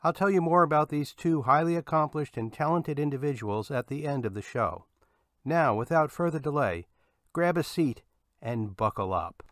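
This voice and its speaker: A man's voice: on the high side, animated, moderate pace, a bit bright, no room sound. A man hosting a podcast, reading a scripted introduction to the show. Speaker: podcast host.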